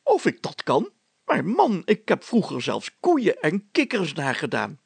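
A man voicing an excitable, self-important village mayor in a storybook, chatty, pompous and full of exclamations. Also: a little high, measured pace, creaky, slightly rough, no room sound, voice pushed up, exaggerated voice. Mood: blustering, self-assured.

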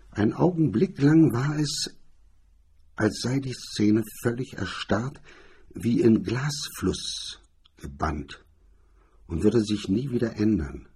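A man with a husky, raspy voice reading a hardboiled crime story as a narrator in a measured, literary storytelling style. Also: on the low side, a little mellow.

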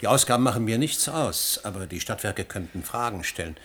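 Husky, sharp-toned earnest scholar. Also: male voice, on the low side, expressive, brisk. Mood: anxious, hopeful. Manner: formal, polite, pressing. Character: earnest, anxious male scholar, courteous and obsessed. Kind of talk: German science fiction radio play acting.

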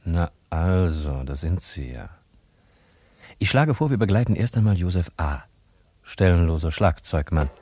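An actor playing a wry, chatty narrator who addresses the listeners in a 1950s-style German radio drama. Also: male voice, low voice, animated, a little slow, dark tone, slightly rough, heavily acted. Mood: conversational, wry.

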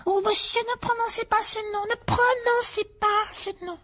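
A woman voicing a timid, tearful male house-elf who pleads in a squeaky, breathy voice and talks about himself in the third person. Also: fairly even intonation, normal speed, sharp tone. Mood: pleading. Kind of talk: audiobook character voice.

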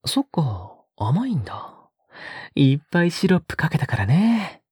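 A male actor playing a possessive young captor in an intimate audio drama, coaxing softly and often slipping into a whisper. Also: a little high, sing-song, normal speed, no echo, studio quality, full character voice. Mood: doting, obsessive. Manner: soft, coaxing, often dropping to a breathy whisper. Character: possessive, sickly-sweet young male captor doting on a pet-like girl. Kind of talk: intimate audio drama acting, spoken to the listener.

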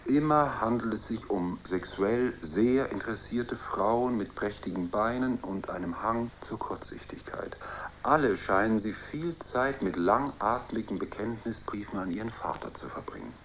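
An actor playing a cool, probing husband in a radio drama, talking in a dry, pointed manner. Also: male voice, lowish voice, moderate pace, close to an everyday voice, poor audio. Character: cool, probing husband questioning his wife. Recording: faint background noise and ambience.

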